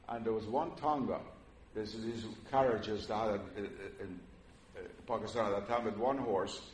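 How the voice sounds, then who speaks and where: Older man lecturing in a hall. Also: fairly low pitch. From Iran. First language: Persian. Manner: measured and earnest, frequent "uh" pauses. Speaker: religious lecturer. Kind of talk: public lecture to an audience. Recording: roomy hall with faint noise.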